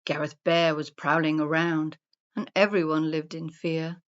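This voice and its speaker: A woman narrating a rhyming children's story in a deliberate, sing-song storybook manner. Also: deep voice, flat delivery. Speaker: story reader. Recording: dull, muffled lo-fi sound.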